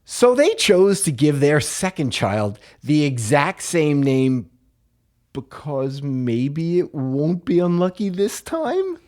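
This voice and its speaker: A man's voice: fairly high pitch, very animated, takes it slowly, darkish tone. An American man co-hosting a comedy podcast, telling a history story in a playful, hammy way with jokey asides. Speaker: podcast co-host presenting a story.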